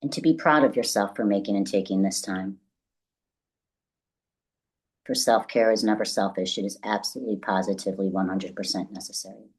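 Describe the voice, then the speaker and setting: A woman leading a guided meditation as the guide, speaking gently and deliberately in a soothing, instructional way with long pauses. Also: extremely low pitch, breathy edge, rough recording.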